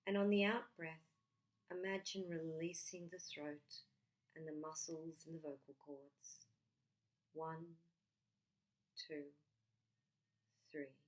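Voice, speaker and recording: Lady doing guided meditation, kinda low voice, super slow n soothing, lots of pauses. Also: level tone, low-quality recording. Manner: soothing, gentle, unhurried with long pauses.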